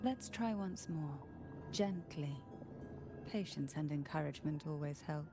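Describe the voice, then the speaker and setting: A calm, mysterious woman from a fantasy game. She speaks slowly and soothingly in measured, poetic phrases, slightly low in pitch, with clear music underneath. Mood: serene, encouraging. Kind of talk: dramatic video game voice acting.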